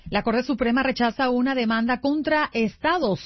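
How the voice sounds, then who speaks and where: A woman's voice: a little high, normal speed, no room sound, highs cut off. A woman hosting a live radio show from a studio, moving between upbeat banter and headline reading. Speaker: radio show host and news headline reader. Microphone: close studio microphone.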